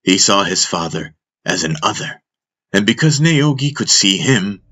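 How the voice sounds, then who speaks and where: Slow, deep-voiced male fantasy storyteller. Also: very animated, a bit bright. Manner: solemn, deliberate storytelling. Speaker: audiobook narrator. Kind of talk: dramatic scripted fantasy narration.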